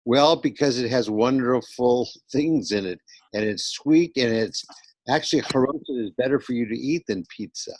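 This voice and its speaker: A man with a medium-pitched voice talks as a rabbi to a children's online class. He speaks casually in short, halting, repeated phrases, and the sound is dry with no room echo. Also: darkish tone.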